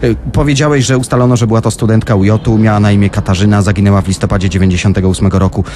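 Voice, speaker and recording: A man's voice: fairly low pitch, steady tone, rapid-fire, background noise. A man hosting a conversational true-crime show on the radio, speaking speech from a studio. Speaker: radio host.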